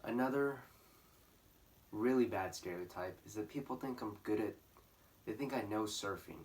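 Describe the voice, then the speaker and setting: A sixteen-year-old boy from California speaking earnestly to camera as a student, in a measured, candid way. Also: lowish voice, darkish tone. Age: teens. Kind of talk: speaking to camera for a school video. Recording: distant mic, faint music behind.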